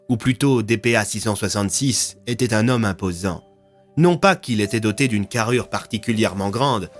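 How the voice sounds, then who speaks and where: A man narrating a scripted audio fiction story. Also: mid-range voice. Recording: music under the voice. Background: background music.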